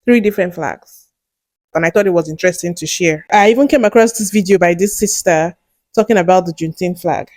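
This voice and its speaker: A woman's voice: on the low side, fairly quick, a little mellow, no echo. A woman hosting a video, giving casual commentary to her viewers. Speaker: video host.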